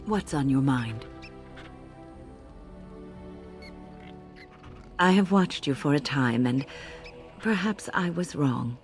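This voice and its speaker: An actress playing a wise, gentle elderly female mentor in a video game, speaking in a measured, reflective way. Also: extremely low pitch, expressive, soft background music. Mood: apologetic. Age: older. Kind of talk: video game character acting.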